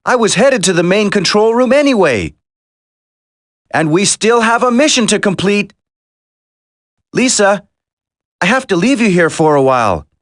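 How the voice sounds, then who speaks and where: A loyal young commando hero in a video game drama. His earnest, emphatic voice swings widely in pitch and is recorded dry. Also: sing-song, a little slow, long pauses, dry recording. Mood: determined.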